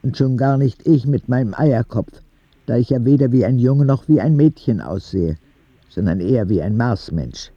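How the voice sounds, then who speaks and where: A man's voice: mid-range voice, moderate pace, dark tone, breathy edge, no echo, highs cut off, lightly acted. A man narrating an audio drama as a candid, vulnerable ten-year-old sick boy who confides in a naive, plain way. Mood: wistful, vulnerable.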